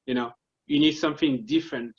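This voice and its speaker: A man's voice: fairly high pitch, fast talker, highs cut off. A man with a Congolese French accent, a marketing consultant guest explaining his ideas earnestly step by step, often restarting his sentences. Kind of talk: casual explanatory interview talk.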